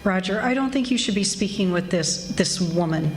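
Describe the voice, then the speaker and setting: A woman's voice: mid-range voice, lively intonation, moderate pace, natural voice. A woman playing a haughty, domineering middle-aged society wife in a 1940s-style radio drama, stern and snooty. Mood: indignant. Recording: echoey room with background noise.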